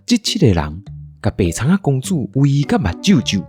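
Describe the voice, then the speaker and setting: A man with a Taiwanese accent narrates a children's radio story as the show's storyteller. His mid-pitched voice moves in lively swings, with faint music underneath. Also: normal speed. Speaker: storyteller and show host.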